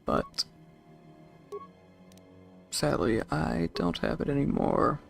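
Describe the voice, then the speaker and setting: A man's voice: mid-range voice, huge pitch swings, takes it slowly, creaky, faint music behind. A young man streaming a game, casually chatting and reading out chat messages in a rambling way. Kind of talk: casual chat with live chat while gaming.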